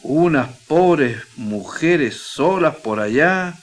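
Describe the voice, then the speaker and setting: An actor playing an earnest, sentimental young Chilean miner in a radio drama, speaking in a folksy, emotional way. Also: male voice, on the high side, expressive, very slow. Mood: homesick, troubled. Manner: folksy, emotional colloquial speech.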